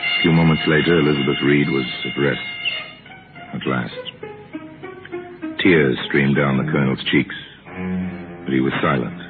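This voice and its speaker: Over music on a narrow old radio recording, a man with an extremely deep voice narrates a mystery. He is wry and confiding, telling the story deliberately in 1950s radio style. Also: steady tone. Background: dramatic music.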